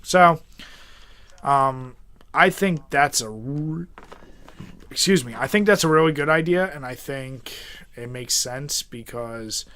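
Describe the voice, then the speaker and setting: A man with a bright, forceful voice at an average male pitch co-hosts a games podcast. He chats casually and bluntly, teasing and swearing as he goes. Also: takes it slowly, long pauses, smooth. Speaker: podcast co-host. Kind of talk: casual banter about games.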